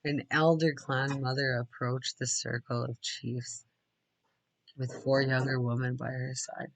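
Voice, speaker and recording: A man's voice: medium pitch, little pitch movement, a little slow, gravelly, no room sound, low-quality recording. A man reading a storybook aloud, sometimes dropping into casual asides. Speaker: read-aloud narrator.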